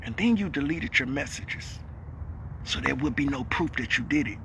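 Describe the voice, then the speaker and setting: A man delivering a heated, repetitive monologue as a vlogger, calling out a rival and swinging up to shouts. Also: mid-range voice, animated, normal speed, creaky, a little hiss or hum.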